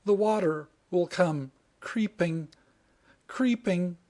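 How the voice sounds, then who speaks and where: A man reading aloud and voicing an emotional young woman, trapped by the tide and later indignant, in a high, strained voice. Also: slow, a little mellow. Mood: despairing. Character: anxious excitable young woman in peril.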